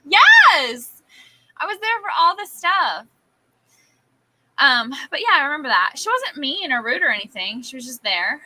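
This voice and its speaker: A woman's voice: sits high, sing-song, unhurried, pushed and bright, a little hiss or hum. A woman co-hosting a casual late-night livestream chat, frank and opinionated, often giggling. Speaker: livestream co-host.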